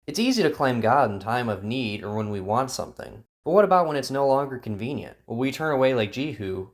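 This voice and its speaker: A man narrating a Bible story drama in an earnest, preachy storytelling style. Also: mid-range voice. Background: guitar music.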